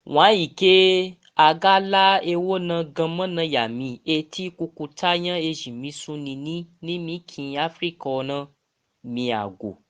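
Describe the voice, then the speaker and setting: A man's voice: a little high, measured pace, a bit bright, smooth. A man in his thirties from Nigeria, a lecturer giving a prepared statement. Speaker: university lecturer.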